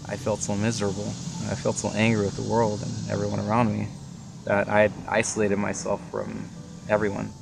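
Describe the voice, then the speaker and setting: A man's voice: fairly low pitch, completely flat delivery, a little fast, creaky. A man telling his personal story earnestly and with feeling. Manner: earnest, emotional. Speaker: interviewee. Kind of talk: personal testimony. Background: music.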